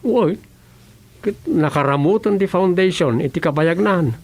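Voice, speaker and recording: An eager, evasive village husband in an Ilocano radio comedy. His voice is gravelly and swings up and down, with an Ilocano accent. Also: sits high, expressive, a little slow, heavily acted. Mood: eager, placating.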